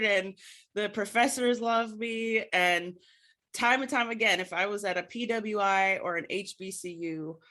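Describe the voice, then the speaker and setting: Bright-voiced American woman, earnest and articulate. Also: fairly high pitch. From United States. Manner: earnest, articulate, proper diction. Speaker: podcast guest, literature scholar. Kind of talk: reflective personal storytelling in a conversational interview.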